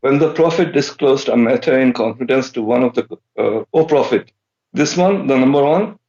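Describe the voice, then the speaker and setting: A man's voice: medium pitch, normal speed, a little mellow, highs cut off. A man calling in to a live show, talking hesitantly with many fillers and restarts. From Germany. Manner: hesitant, full of fillers and repeats. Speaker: caller. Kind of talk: phone-in call.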